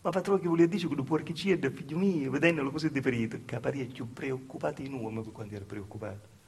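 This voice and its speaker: A man's voice: medium pitch, fast, gravelly, darkish tone, slight background noise, natural voice. A man playing a Calabrian village mother, telling a folksy, repetitive, plaintive theatre monologue in dialect. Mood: plaintive, confiding.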